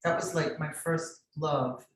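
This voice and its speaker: Slow woman in a very echoey room. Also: sits low, steady tone. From Miami, Florida. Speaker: guest artist. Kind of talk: personal interview narrative. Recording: very echoey room, distant from microphone.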